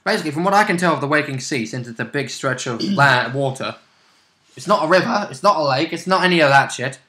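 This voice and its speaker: Bright, blunt man chatting about gaming. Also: on the high side, animated, fast talker, roomy sound. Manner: sweary, blunt. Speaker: player and co-host. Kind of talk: casual chat about a tabletop game.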